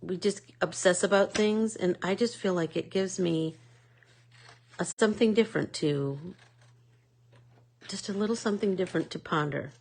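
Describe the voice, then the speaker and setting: A woman's voice: on the low side, normal speed, pauses often, darkish tone, slight background noise, rough recording. A woman with a Midwest accent hosting a live art class, chatting casually and rambling with lots of fillers. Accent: American Midwest. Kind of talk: casual chat while painting.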